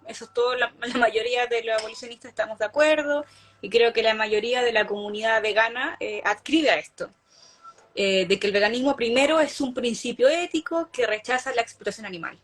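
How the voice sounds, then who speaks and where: A woman, an animal rights activist, giving an earnest explanation of her views on an online video call. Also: a little high. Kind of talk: casual online talk.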